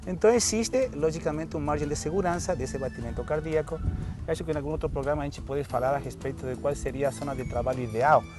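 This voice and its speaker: A fitness instructor, a man with an Argentine Spanish accent, talks in a friendly, explanatory way, with his voice sitting a bit high for a man. Studio music plays clearly behind him. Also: fast. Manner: friendly, explanatory, ends phrases with "tá?". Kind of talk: TV studio interview and demonstration.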